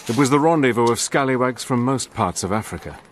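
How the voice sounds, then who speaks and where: An actor playing a cool, determined wartime adventurer, telling his own story in measured, dramatic narration. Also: medium pitch, expressive, slight background noise, natural voice. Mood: measured, reflective. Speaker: narrator and lead character. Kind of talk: dramatic first-person scripted narration.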